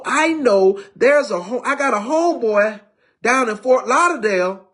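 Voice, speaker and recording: A man's voice: sits high, fairly bright tone. A man in his fifties giving a streetwise personal testimony, preachy in tone and full of restarts and repeated words. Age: 50s. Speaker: recovery speaker.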